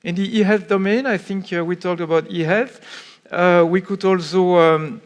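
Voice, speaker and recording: A man answering on a conference panel in a fairly high voice. He talks haltingly, stopping often for fillers and starting phrases over. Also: fairly even intonation, smooth. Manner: hesitant, many fillers and restarts. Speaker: panelist from a public authority.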